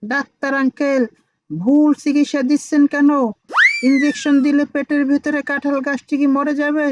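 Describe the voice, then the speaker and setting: Actor doing little cartoon boy, high flat-ish voice, bossy know-it-all playing doctor, noisy track. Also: normal speed, natural voice. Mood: cocky. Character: cheeky, cocky five-year-old village boy playing doctor. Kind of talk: comic cartoon dialogue.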